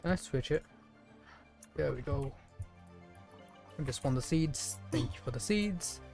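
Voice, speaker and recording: A man's voice: on the high side, expressive, unhurried, creaky, darkish tone, faint music behind. A man giving casual live gameplay commentary, chatting playfully to the characters and enemies as he plays. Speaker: video game streamer.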